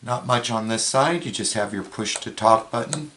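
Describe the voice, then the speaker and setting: A man's voice: mid-range voice, normal speed, bright, forceful tone, slight background noise. A man giving a plain, matter-of-fact, step-by-step hands-on product demonstration. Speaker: product reviewer, presenter.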